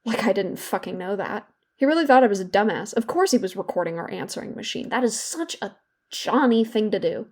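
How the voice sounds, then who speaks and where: A woman's voice: mid-range voice, expressive, a little fast, natural voice. A young woman playing a guilt-ridden, bitter therapy patient in a confessional, self-loathing audio drama monologue. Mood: bitter, defiant. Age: young adult.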